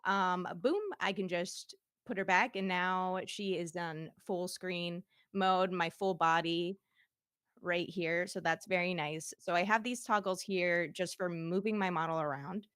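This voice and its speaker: Giggly low-voiced woman streamer. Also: fairly even intonation, measured pace, a bit bright, clean tone, no echo, studio quality. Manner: giggly, chatty, laughs often. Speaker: VTuber guest. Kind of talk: casual livestream demo chat. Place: home streaming setup. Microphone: streaming microphone.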